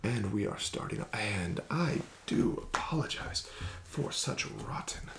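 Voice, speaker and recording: Male ASMR casino dealer: unhurried, lilting and smoothly persuasive, with a polite formal delivery and frequent whispers. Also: fairly low pitch, animated, noisy background. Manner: formal, courteous, gently coaxing dealer patter. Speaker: casino card dealer in a roleplay. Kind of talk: ASMR roleplay, soft-spoken with whispered phrases.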